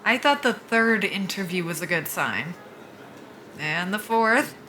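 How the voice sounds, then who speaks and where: A woman's voice: medium pitch, animated, unhurried, pushed and bright, slight background noise. An actress playing a wry, down-on-her-luck young military pilot in a naturalistic audio drama. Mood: wry, weary.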